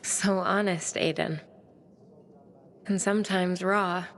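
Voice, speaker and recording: An actress playing a shy, dreamy, bookish young woman who speaks softly and wistfully, often half-whispering. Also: on the low side, fairly even intonation, slow pace, a little hiss or hum.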